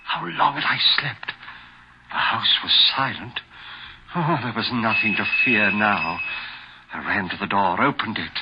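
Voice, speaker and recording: An actor playing an anxious, guilty murderer in a 1940s radio thriller, with a halting, melodramatic delivery. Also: male voice, on the low side, heavy background noise. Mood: haunted, dramatic. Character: anxious guilty husband, furtive murderer narrating his story. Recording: muffled 1940s radio broadcast, music behind.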